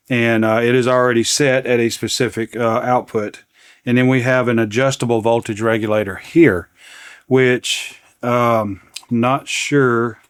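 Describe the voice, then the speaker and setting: A man's voice: mid-range voice, clear, resonant tone, no room sound, clean studio sound. A man hosting a repair demonstration, talking casually and unscripted, partly to himself as he works at a bench. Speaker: repair channel host. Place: indoors at an electronics workbench.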